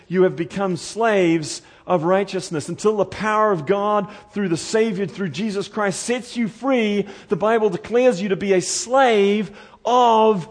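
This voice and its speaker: Earnest New Zealand preacher. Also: male voice, high voice. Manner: earnest, pleading, swings from loud urgency to quiet intensity. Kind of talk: sermon.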